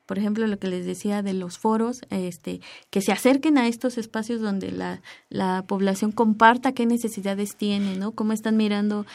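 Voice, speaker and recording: A woman's voice: medium pitch, steady tone, moderate pace, vocal fry, hardly any pauses. A woman speaking as a radio guest, hesitant and searching for her words. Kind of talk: radio interview.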